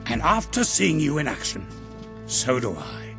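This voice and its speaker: An actor playing a proud, loyal older gentleman army major in a video game, with formal, theatrical military speech. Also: medium pitch, wildly swooping pitch, measured pace, creaky, music in the background, heavily acted. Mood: earnest, solemn. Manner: pompous, formal military phrasing. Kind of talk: video game character acting.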